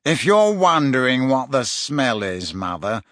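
A man's voice: mid-range voice, sing-song, a little slow, fairly bright tone, no echo, clean studio sound. A man voicing an arrogant, spoiled teenage boy in a storybook, drawling with petulant sneers. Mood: sneering.

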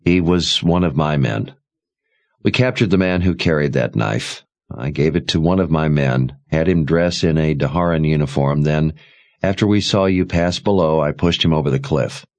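A man's voice: extremely low pitch, normal speed, a little mellow. A man voicing a devious young male soldier in a fantasy audiobook, soothing and evasive. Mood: defensive.

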